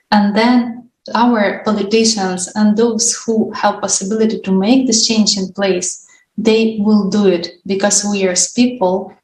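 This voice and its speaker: A woman hosting an unscripted panel discussion, speaking in halting, deliberate phrases. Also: mid-range voice, darkish tone. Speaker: discussion host. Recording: roomy echo, distant mic, faint noise.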